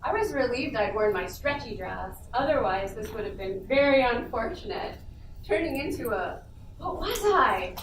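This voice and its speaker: A woman's voice: fairly high pitch, expressive, moderate pace. A woman playfully reading her own humorous story aloud in a casual, amused manner. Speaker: panelist and writer. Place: convention panel room before a small audience. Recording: echoey room, distant mic, noisy.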